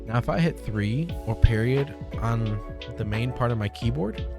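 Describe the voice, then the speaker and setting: A young man hosting a casual software tutorial, speaking informally into a close desk microphone. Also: mid-range voice, expressive, moderate pace, creaky. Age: young adult. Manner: informal, conversational. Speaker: tutorial host. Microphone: close desk microphone on boom arm. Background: music.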